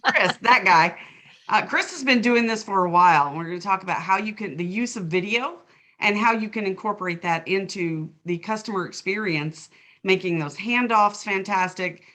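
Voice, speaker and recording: Bright-voiced woman hosting a webinar. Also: medium pitch, normal speed. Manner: chatty, stumbles over names. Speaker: webinar co-host, management consultant. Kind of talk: casual panel chat.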